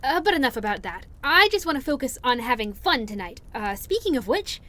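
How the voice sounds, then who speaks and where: A woman's voice: sits high, sing-song, fairly quick, a bit bright. A woman playing a shy, anxious, affectionate young friend in an audio roleplay, speaking in a hesitant, rambling, earnest way. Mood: nervous, sheepish. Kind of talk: interactive audio roleplay acting.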